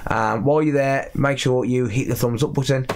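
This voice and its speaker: A young man with a slightly raspy voice from recent illness, chatting casually as he repairs a console in his workshop. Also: medium pitch, steady tone, moderate pace. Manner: chatty, self-deprecating, thinks aloud while working. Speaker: electronics repair YouTuber. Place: cluttered repair workshop, indoors.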